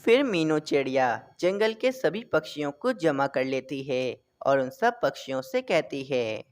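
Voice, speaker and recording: A woman's voice: deep voice, sing-song, a little slow. A woman reading scripted narration for a children's story as its narrator.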